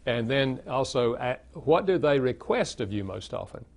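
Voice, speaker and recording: An older man with a Southern American accent, an author answering interview questions in a steady, explanatory way. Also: mid-range voice, moderate pace, creaky. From Southern United States. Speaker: author and guest. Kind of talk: interview conversation.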